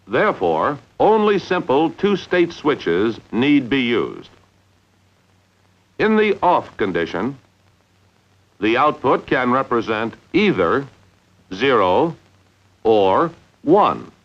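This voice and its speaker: A man narrating a 1950s instructional training film in a measured, deliberate way with emphatic enunciation. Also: medium pitch, big pitch swings. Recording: dull, lo-fi 1950s film soundtrack.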